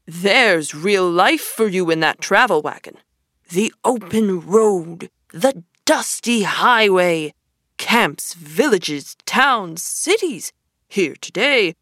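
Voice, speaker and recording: A woman voicing a boastful, excitable male toad in a storybook reading, gushing and showy. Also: mid-range voice, dry recording, studio quality, pitched above the performer's own voice.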